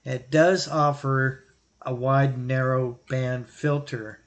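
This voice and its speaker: A man hosting a hobby video, explaining gear in a casual, plain-spoken, unscripted way with halting pauses. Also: medium pitch, steady tone. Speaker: radio hobbyist host answering viewer question. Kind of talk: casual explanatory product talk.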